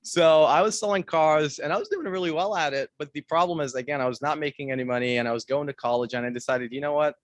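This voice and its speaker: Energetic young man, dry close sound. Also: fairly high pitch, quick pace, hardly any pauses, no room sound. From Iraq. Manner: energetic, direct, repeats phrases for emphasis. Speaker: guest speaker, realtor. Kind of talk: motivational talk to an audience.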